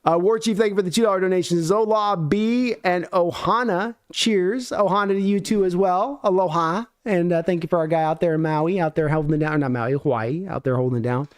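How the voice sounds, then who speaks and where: Guy on a sports watch stream, pretty high voice, ribbing people, chatty and rambly. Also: a little fast, few pauses, clean tone. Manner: teasing, rambling, needling. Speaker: livestream host. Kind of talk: casual banter on a live sports watch stream.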